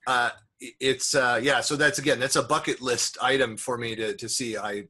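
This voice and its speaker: A man's voice: medium pitch, fairly even intonation, moderate pace, sharp tone, husky. A man hosting a casual interview over a video call, chatty and rambling, with frequent restarts. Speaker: host.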